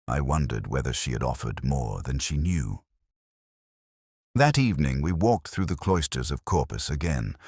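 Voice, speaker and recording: A man narrating a scripted first-person detective story in a measured, literary, brooding manner. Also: a bass voice, no room sound, studio quality.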